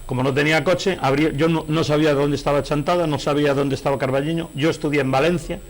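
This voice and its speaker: A man's voice: on the high side, steady tone, fast. A middle-aged man from Galicia with a Spanish accent, talking as an interview guest in a digressive, anecdotal way and often correcting himself. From Galicia, Spain. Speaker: interview guest, painter and novelist. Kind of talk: conversational interview, anecdotal storytelling.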